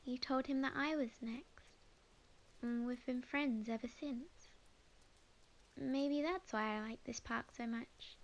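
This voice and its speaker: A woman playing a young witch, sweet, flustered and chatty, in an ASMR roleplay, speaking expressively and haltingly. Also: high voice, muffled recording. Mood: playful, nostalgic. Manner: halting, expressive, talks to herself. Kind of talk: ASMR roleplay audio drama monologue.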